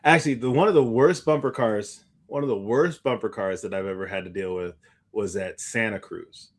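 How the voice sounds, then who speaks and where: A man's voice: fairly low pitch, moderate pace. A man co-hosting a podcast, chatting casually in informal, slangy speech. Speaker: podcast co-host. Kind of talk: casual chat.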